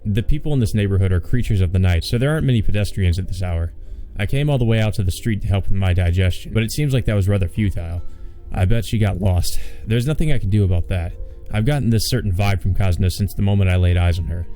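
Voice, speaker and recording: Male let's play host, deep-leaning pitch, soft dark tone, casual story reading, quiet music behind. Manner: halting, repetitive stammer in asides. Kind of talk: reading visual novel aloud with casual commentary.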